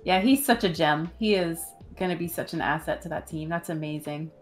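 Female co-host, 30s, slightly low register, casual, enthusiastic gaming chat from a home room. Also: fairly quick, faint music behind. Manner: enthusiastic, informal.

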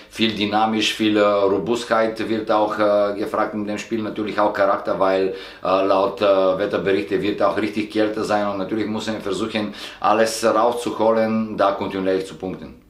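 Man, 40s, football coach, halting speech, lowish voice. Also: little pitch movement. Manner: halting, many filler sounds. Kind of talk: press interview. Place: indoor stadium media room, sponsor wall. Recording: echoey room.

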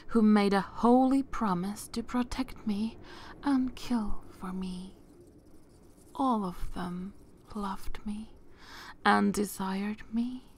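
Woman playing a whispering, slow, seductive vampire mistress. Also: on the low side, full character voice. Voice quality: breathy, mostly whispered. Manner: slow, deliberate, dramatic pauses. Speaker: ASMR roleplay performer. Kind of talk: whispered ASMR roleplay.

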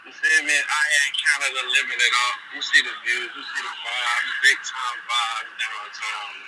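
A man's voice: mid-range voice, flat delivery, normal speed, background noise. A young man on a phone video call from outdoors downtown, laid-back and slangy.

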